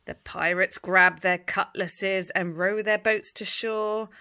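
A middle-aged woman reading a picture book aloud as a bedtime story, with dramatic delivery and hushed asides. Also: mid-range voice, steady tone, takes it slowly.

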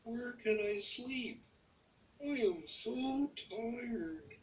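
A woman's voice: expressive, very slow, a little hiss or hum. A woman voicing a tired, apologetic childlike male puppet character from a storybook, in a simple plaintive way. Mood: weary, questioning. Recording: distant, dull low-fidelity home recording.

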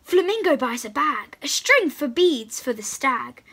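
A young girl, presenting to little children in an excited, encouraging way and reading picture books aloud. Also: high voice, unhurried. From England. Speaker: host of a children's read-aloud channel. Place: small room at home, indoors.

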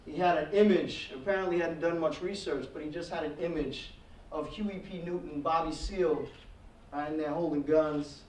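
A man's voice: on the high side, normal speed, a little mellow, a little hiss or hum. A man in his thirties telling his personal story to a classroom audience at a heritage month event, standing away from the podium mic. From Trinidad. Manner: reflective storytelling, gestures while speaking, rising intensity. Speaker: guest speaker. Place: classroom with projector screen. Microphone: podium mic, speaker standing away from it. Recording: echoey room from far off.

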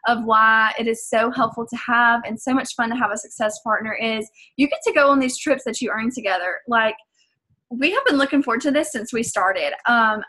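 A woman's voice: fairly high pitch, pushed and bright. Chatty young Southern woman. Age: young adult. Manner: chatty, filler-heavy, earnest. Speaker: fitness business coach. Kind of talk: casual vlog talk to camera.

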